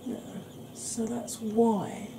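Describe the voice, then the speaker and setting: Slow-talking woman, Welsh-Yorkshire accent. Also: a little high, flat delivery, noisy background. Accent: Welsh and Yorkshire mix. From England, raised by Welsh family. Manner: deliberately slow, gentle, chatty. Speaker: beauty YouTuber. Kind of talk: slow soft-spoken makeup tutorial chat.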